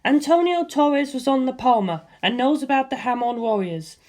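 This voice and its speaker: A commanding warrior woman, brisk and analytical, her voice pitched up above a plain reading voice, as a woman narrator puts her on. She talks through danger like a strategist in a storybook reading. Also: darkish tone, lightly acted. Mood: urgent.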